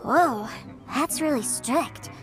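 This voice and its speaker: A woman's voice: sits high, expressive, takes it slowly, music in the background. A woman voicing an eager, innocent, childlike little boy in a video game. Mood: awed, curious. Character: eager, innocent little boy who adores his big brother.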